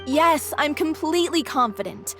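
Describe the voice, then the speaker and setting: A woman's voice: high-pitched, expressive, normal speed. A woman playing a young, determined leading actress in an anime game, firm and earnest in her delivery. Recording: music behind voice.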